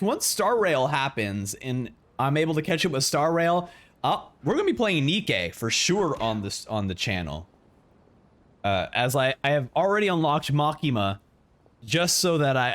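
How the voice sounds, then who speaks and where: A man giving live reaction commentary as a game streamer, excitable and effusive, often repeating his phrases. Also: medium pitch.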